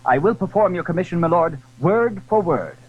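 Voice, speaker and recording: Man acting an eager, higher-voiced gallant young musketeer. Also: expressive, moderate pace, slightly rough. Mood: earnest. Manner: eager, formal, declamatory. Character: earnest, gallant young musketeer, respectful but proud. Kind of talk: period radio drama acting. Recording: dull, hissy old audio drama recording.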